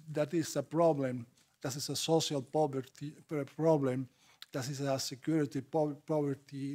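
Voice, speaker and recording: Halting Spanish-accented man on a panel. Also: fairly high pitch, mellow. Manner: halting, repetitive, non-fluent English. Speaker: panel speaker. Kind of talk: impromptu remarks at a public panel.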